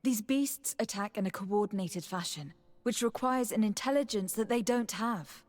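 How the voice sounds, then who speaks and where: An actress playing an earnest, determined noblewoman diplomat in a video game, speaking in a formal, measured, probing way. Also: medium pitch, close to an everyday voice. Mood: concerned, reasoning. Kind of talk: dramatic video game cutscene acting.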